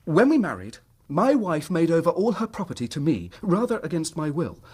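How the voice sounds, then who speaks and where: A man's voice: on the high side, wildly swooping pitch, moderate pace, a little mellow, breathy edge, exaggerated voice. An actor playing an earnest, troubled middle-class English husband telling his story in formal period language for a radio drama.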